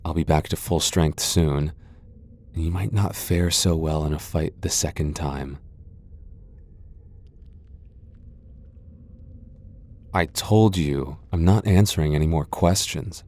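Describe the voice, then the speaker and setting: A proud vampire lord played by a man in an ASMR roleplay drama. His voice sits extremely low and has a dark, mellow tone. He comes across as a guarded loner, sardonic and haughty, reluctant to let anyone close. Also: normal speed. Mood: curt, defiant.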